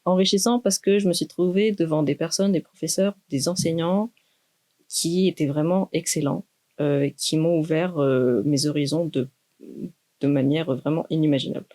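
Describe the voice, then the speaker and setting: A young woman, a doctoral student speaking in a thoughtful, measured way with many pauses in a university podcast interview. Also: low-pitched, warm tone. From Greece. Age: 20s. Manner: measured, thoughtful, with frequent hesitations.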